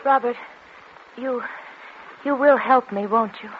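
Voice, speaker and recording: An actress playing a fragile, anxious, obedient wife, hesitant and placating, in 1940s radio style. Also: female voice, on the high side, takes it slowly. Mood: anxious pleading. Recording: dull, lightly noisy vintage radio recording.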